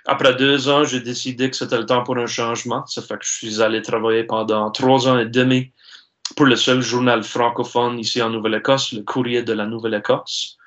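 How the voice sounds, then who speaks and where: A man in his forties with an Acadian French accent, answering interview questions earnestly, with many filler pauses. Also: medium pitch, little pitch movement, a bit bright. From Baie-Sainte-Marie, Nova Scotia. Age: 40s. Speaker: guest, university sports director. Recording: dull lo-fi sound.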